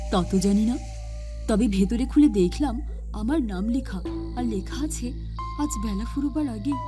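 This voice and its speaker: A woman voicing a flustered, scolding, easily frightened male village postmaster in an animated horror story. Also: a little high, moderate pace, over music, close to an everyday voice. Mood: urgent. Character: frightened village postmaster man, irritable boss.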